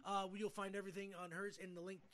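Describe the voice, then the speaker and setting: Bright-voiced man hosting a casual podcast. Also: high-pitched, flat delivery, quick pace. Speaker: podcast host. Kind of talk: casual chat.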